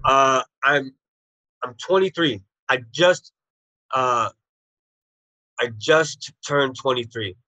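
A man's voice: mid-range voice, takes it slowly, long pauses, pushed and bright, no echo, poor audio. A man in his thirties, a guest on a video call, bluntly recounting his past in plain street slang. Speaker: interview guest. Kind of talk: telling his life story.

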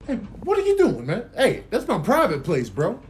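A woman's voice: mid-range voice, huge pitch swings, moderate pace, shouting, heavy background noise. A woman voicing an indignant, confrontational animal in comedic voiceover, with repetitive slangy taunts.

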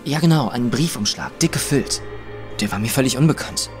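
A man's voice: medium pitch, animated, brisk, lightly acted. Actor doing a young guy, plain talking, wary, audio drama, music in the back. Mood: confiding. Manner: plain-spoken, guarded. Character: young working-class man, Emma's brother. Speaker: witness.

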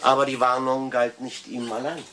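An actor playing a polite but firm police detective in a German crime radio play. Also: male voice, medium pitch, level tone, moderate pace, a bit bright, recorded from a distance, lightly acted.

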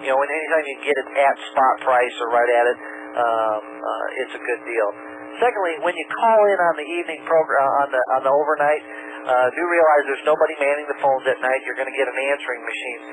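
A man speaking as a call-in dealer on talk radio, earnest and halting, often repeating words as he makes his case. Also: mid-range voice. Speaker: call-in precious metals dealer. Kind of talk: radio phone-in talk, sales pitch. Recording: noisy 1990s radio broadcast recording.

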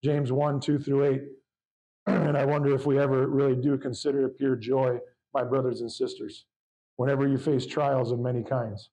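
A man preaching a sermon to his congregation, reading scripture aloud in a loud, fervent voice. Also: mid-range voice, little pitch movement, normal speed, rough recording. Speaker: pastor.

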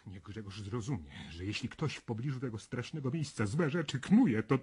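An actor playing an educated, earnest art professor in a radio drama, husky and speaking formally with emphasis. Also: male voice, medium pitch, animated, normal speed, a little mellow. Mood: grave urgency.

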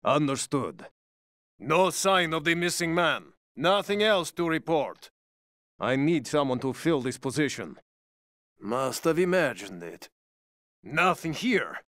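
A man's voice: on the high side, sharp tone, no room sound, clean studio sound. A man playing an alert, dutiful enemy soldier in a video game, giving clipped military reports. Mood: businesslike.

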